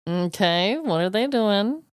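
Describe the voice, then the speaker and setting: A woman's voice: mid-range voice, lively intonation, slow pace, smooth, no echo, clean studio sound. A woman co-hosting a podcast in casual chat, reacting in a playful, supportive way. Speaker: podcast co-host.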